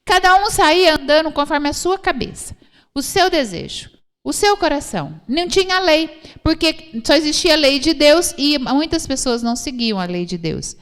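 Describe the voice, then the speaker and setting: A woman's voice: high-pitched, expressive, normal speed, fairly bright tone. A woman with a Brazilian Portuguese accent preaching a church sermon, explaining scripture didactically and repeating phrases for emphasis. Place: church hall, speaking to a congregation. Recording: reverberant hall sound.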